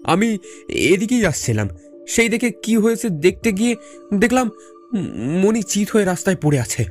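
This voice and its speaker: A man's voice: sits high, lively intonation, moderate pace, soft background music. An actor playing an earnest, insistent man who is a friend and narrator in a dramatic audio story. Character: urgent male friend bringing bad news, also narrating.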